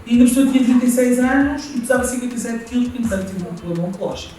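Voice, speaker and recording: A woman's voice: a little high, fairly even intonation, rapid-fire, warm tone. A woman speaks in a matter-of-fact way about her time in local politics. She sounds distant from the mic in a strongly echoing room, with faint music underneath. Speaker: former local political leader.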